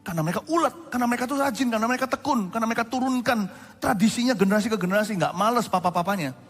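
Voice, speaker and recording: Animated high-voiced man preaching in reverb. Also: racing, husky. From Indonesia. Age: 40s. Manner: animated storytelling, conversational asides to the congregation. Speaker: pastor. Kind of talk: sermon. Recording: long hall reverb.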